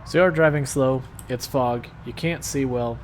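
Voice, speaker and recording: A man streaming a game live, talking through his play in a calm, soothing, laid-back way. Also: medium pitch, normal speed, slight background noise. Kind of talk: casual live stream gameplay commentary.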